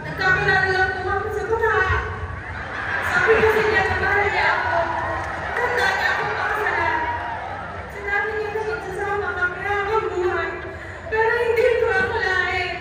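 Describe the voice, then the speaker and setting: A woman's voice: very high voice, steady tone, normal speed, a crowd in the background. A woman playing a naive pregnant migrant worker on stage, plaintive and earnest. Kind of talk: amateur stage play acting. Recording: echoey noisy hall from far off.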